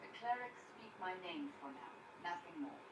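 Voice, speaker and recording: An actress voicing an earnest, stern and devout warrior in a video game, grave, formal and emphatic. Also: female voice, on the low side, lively intonation, moderate pace, natural voice. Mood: dutiful, guarded. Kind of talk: video game character acting. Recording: roomy, distant game capture, loud background noise.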